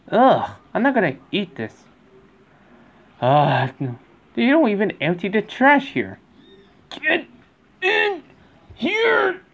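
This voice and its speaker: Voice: medium pitch, exaggerated voice. A performer voicing a lazy, playful cartoon fox big brother, with a drawling, theatrical delivery. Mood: disgusted. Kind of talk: character voice lines, acted.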